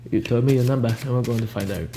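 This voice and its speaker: A young man improvising a soft-spoken ASMR roleplay as a cool, ominous recruiter, talking in a casual, blunt, unhurried way over hiss and hum. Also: medium pitch. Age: young adult. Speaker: ASMR roleplayer playing a job interviewer. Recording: audible background hiss and hum.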